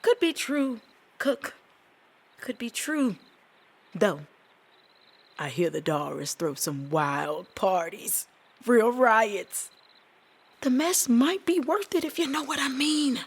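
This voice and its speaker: A woman's voice: a little high, lively intonation, takes it slowly, pauses often, husky, full character voice. An actress playing a brash, cheeky working-class woman mechanic in an audio drama, teasing and slangy.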